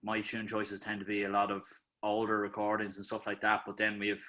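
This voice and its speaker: A man's voice: fairly low pitch, brisk. A man with an Irish accent, a musician talking loosely on a video call about his band's music. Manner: relaxed, rambling. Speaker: band member. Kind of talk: casual interview chat.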